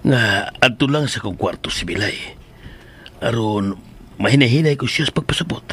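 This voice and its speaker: A man acts a composed family father with a mid-range voice and gentle, measured delivery. It is a radio drama scene with slight noise behind him. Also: medium pitch, animated, lightly acted. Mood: gentle, reassuring. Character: kindly, composed father returning to his family.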